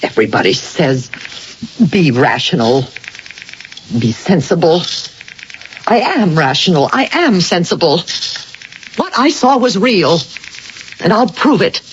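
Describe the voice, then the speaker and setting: An actress playing an anxious, defensive housewife in a radio drama, pleading earnestly with hesitant starts. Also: female voice, lowish voice, big pitch swings, a little slow, pauses often. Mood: insistent. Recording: dull, hissy old radio recording.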